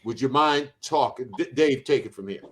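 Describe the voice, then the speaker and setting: Male co-host, medium voice, animated, exclamatory interjections. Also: medium pitch, normal speed, a little hiss or hum. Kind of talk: hosting an online interview.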